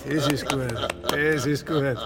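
A man's voice: medium pitch, steady tone, slow pace, heavy background noise, lightly acted. A man playing a timid, fussy, earnest clergyman in a radio play, hesitant and stammering. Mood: pleased. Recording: reverberant hall, music behind.

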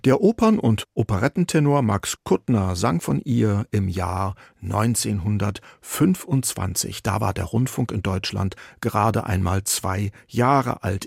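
Creaky, husky male radio presenter. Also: lowish voice, darkish tone, dry recording, clean studio sound. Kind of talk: scripted radio narration.

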